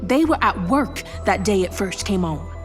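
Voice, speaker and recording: A woman playing a sharp-tongued, defiant small-town scientist with a folksy, commanding manner in an audio drama. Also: medium pitch, lively intonation, moderate pace, soft background music, close to an everyday voice. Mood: grave explaining.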